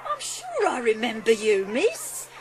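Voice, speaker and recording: A woman's voice: a little high, wildly swooping pitch, a little slow, slight background noise. A woman playing a good-natured, humble baker in a dramatised storybook, chatty and deferential. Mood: kindly, deferential. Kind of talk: dramatised audiobook acting.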